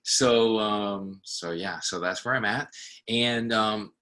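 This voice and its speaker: A man from Puerto Rico, an artistic director, chatting on a video call in a lively, self-deprecating way with quick restarts. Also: lowish voice. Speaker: artistic director and faculty member. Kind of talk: casual group check-in on a video call.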